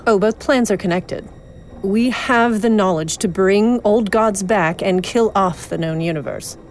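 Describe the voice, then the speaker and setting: A woman's voice: mid-range voice, natural voice. Woman acting coolly ruthless otherworldly schemer, noisy background. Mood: coolly scheming. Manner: measured, persuasive, formal. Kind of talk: audio drama acting.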